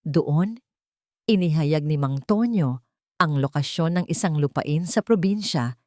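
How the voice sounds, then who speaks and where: Woman narrator reading a drama story, dark soft-edged tone, kinda low, super dry sound. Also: expressive, measured pace, no room sound.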